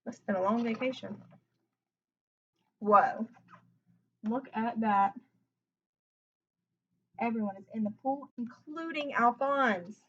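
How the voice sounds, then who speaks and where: A woman's voice: a little high, slow, pauses often, fairly bright tone, muffled recording. A woman reading a children's picture book aloud expressively, breaking off for chatty asides to her listeners.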